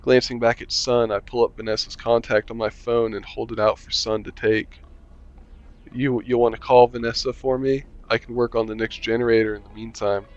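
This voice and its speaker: A man's voice: medium pitch, little pitch movement, moderate pace, no room sound, dull audio. A young man reading a visual novel aloud, playing the player character with casual, joking asides. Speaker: gaming channel host.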